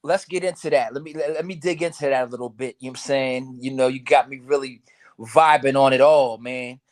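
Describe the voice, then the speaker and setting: A man in casual podcast talk over a video call, laid-back and rambling, with frequent ums and slow, drawn-out stretches. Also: mid-range voice, fairly even intonation.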